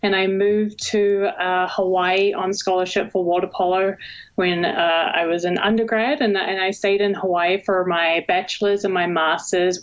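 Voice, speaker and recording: A woman's voice: fairly low pitch, lo-fi sound. New Zealand woman explaining her research. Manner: explanatory, measured, with occasional laughs. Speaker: guest researcher, assistant professor. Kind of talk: podcast interview.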